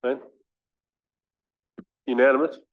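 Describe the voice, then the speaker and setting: A man's voice: mid-range voice, little pitch movement. Man chairing a vote, thin tinny sound. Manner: brisk, procedural. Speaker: council chairman. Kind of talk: chairing a meeting. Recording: thin phone-like meeting audio.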